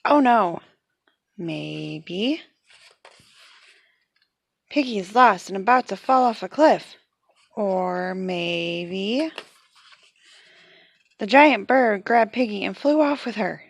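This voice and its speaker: Woman voicing a fretful, animated boy elephant. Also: mid-range voice, slow, vocal fry, long pauses, no echo, full character voice. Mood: worried.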